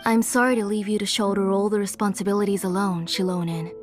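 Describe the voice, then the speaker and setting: An actress playing a gentle, sorrowful middle-aged mother in a game, speaking softly, with game music behind her. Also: mid-range voice, level tone, quick pace, lightly acted. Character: gentle, guilt-ridden mother and former smith. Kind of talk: game dialogue. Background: soft game music.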